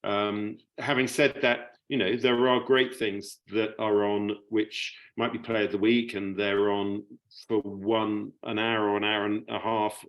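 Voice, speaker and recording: Low-voiced middle-aged man, stammering. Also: level tone, measured pace, fairly bright tone, poor audio. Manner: hesitant, stammering repetitions of words. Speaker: media producer, panellist. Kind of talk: informal panel discussion.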